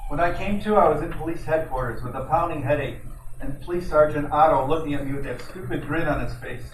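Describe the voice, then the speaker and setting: A man's voice: on the high side, fast, lightly acted. A man playing a wisecracking private detective who narrates his case in an old-time radio drama. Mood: sarcastic, irritated. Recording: roomy, distant mic, noisy amateur recording.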